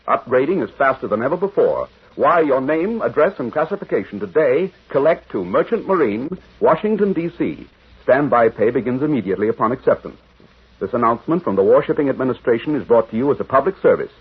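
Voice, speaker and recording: Casting: male American announcer, vintage 1940s radio delivery, lively inflection, rough husky edge, thin tinny sound. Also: medium pitch.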